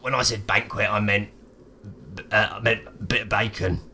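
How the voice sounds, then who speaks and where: A British man with a fairly low, bright and cutting voice plays a tabletop game. He banters casually in character, with faint noise on the recording. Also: fairly even intonation, moderate pace. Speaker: player in a tabletop role-playing game.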